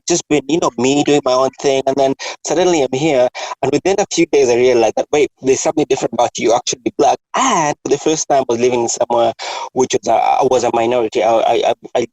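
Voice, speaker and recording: A man hosts a casual conversation in an easy conversational register. His voice has a gravelly, creaky edge at a middling pitch, and it sounds close and dry, with no room around it. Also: a little mellow, no room sound, poor audio. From Kenya. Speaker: host. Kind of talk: casual discussion.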